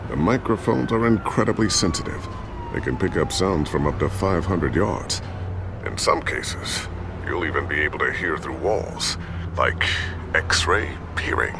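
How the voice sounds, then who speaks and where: An eager, quippy tech engineer in a video game. His voice is low yet lively in its swings, and he chats proudly about his gadgets over a noisy background. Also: unhurried.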